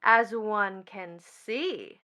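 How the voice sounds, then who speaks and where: An actress playing a mocking, bitter young stepdaughter in a stage drama, with a taunting, sardonic delivery. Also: female voice, medium pitch, extremely slow, bright, forceful tone. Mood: mocking, scornful. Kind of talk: theatrical stage drama acting.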